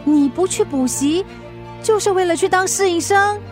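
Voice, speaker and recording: A woman acting a strict, exasperated mother in a Mandarin radio drama, scolding and quick to anger. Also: very high voice, a little mellow, faint music behind. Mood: hurt, scolding. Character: strict, exasperated working mother of a teenage girl.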